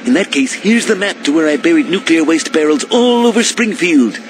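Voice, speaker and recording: A man's voice: on the high side, lively intonation, a little fast, soft background music, natural voice, low-quality recording. A man voicing a frail, callous old tycoon in a cartoon, with a quaint, haughty way of talking. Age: older. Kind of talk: animated comedy voice acting.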